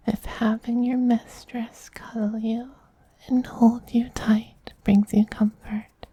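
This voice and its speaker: A man voicing a doting, possessive femboy vampire queen in a soft, breathy whisper, slow, tender and gently commanding, as in an ASMR roleplay. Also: high voice, dull audio, exaggerated voice.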